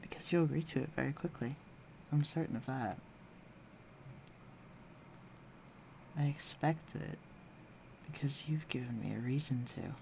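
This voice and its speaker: A man playing a confident, flirtatious fantasy villain with a protective streak, speaking intimately to the listener in an unhurried, teasing way in an audio drama. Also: medium pitch, vocal fry, lightly acted. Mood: teasing, seductive. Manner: teasing, self-assured, unhurried, dropping into hushed near-whispers. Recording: faint noise, night crickets and insects. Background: night ambience with crickets.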